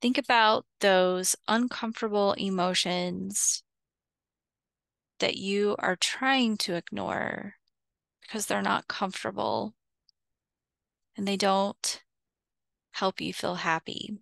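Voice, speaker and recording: Female meditation guide, very slow and soothing, gentle fry in a smooth tone, close dry sound. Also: mid-range voice, steady tone, no echo. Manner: soothing, unhurried, with long pauses between short phrases.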